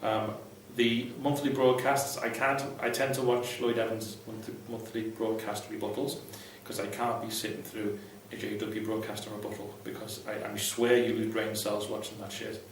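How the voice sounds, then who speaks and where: A man's voice: on the low side, flat delivery, normal speed, hardly any pauses. A man giving casual, unscripted advice to viewers in a blunt, irreverent, sweary way. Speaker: vlogger, ex-Jehovah's Witness advice host. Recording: very echoey room, distant mic, faint noise.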